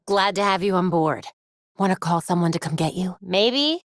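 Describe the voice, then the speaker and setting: A woman's voice: lowish voice, normal speed, no room sound, studio quality, lightly acted. A woman playing a young female superhero in a video game, witty, determined and brainy, with a wry conversational delivery. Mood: wry, playful.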